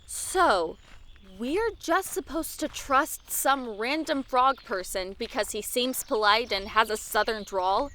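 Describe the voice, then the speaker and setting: A woman's voice: a little high, animated, slow pace, pushed and bright, full character voice. A young woman playing a sarcastic, feisty adventurer in an audio drama, with a teasing way of talking.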